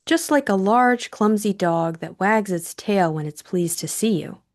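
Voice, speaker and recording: A woman voicing a brisk, sardonic young upper-class Englishwoman in an audiobook, with a dry, sarcastic manner. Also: mid-range voice, lightly acted. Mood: fond amusement. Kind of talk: audiobook character acting.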